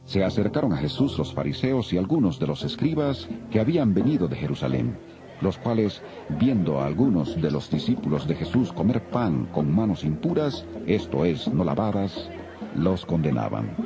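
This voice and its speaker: A man narrating scripture from a script in a solemn, measured, dramatized storytelling style. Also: sits low, very animated. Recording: dull lo-fi audio, faint music.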